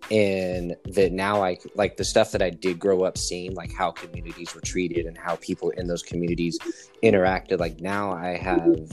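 Very low-voiced rambling guest man. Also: level tone, moderate pace, soft background music. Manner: rambling, hesitant, many "like" and "you know". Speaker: podcast guest. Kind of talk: casual storytelling.

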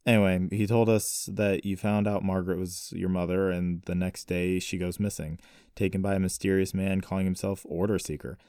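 A man playing a calm young man in an audiobook story, placating but firm as he reasons. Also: sits low, moderate pace, dry recording, clean studio sound. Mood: firm, reasoning.

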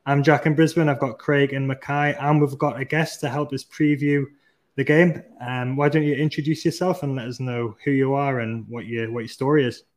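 A man from Australia hosting a casual podcast, with a middle pitch. He is chatty and walks through figures in an easygoing way. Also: fairly even intonation, moderate pace. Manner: chatty, reading out stats. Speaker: podcast host.

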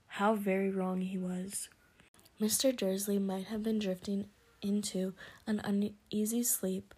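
A woman's voice: mid-range voice, slightly rough. Whispering woman reading a story aloud. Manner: soft whispering narration.

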